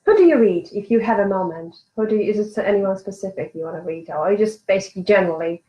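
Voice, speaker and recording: Woman, interviewer, mid pitch, encouraging, halting questions, frequent backchannel murmurs. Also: mellow, muffled recording. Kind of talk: casual interview.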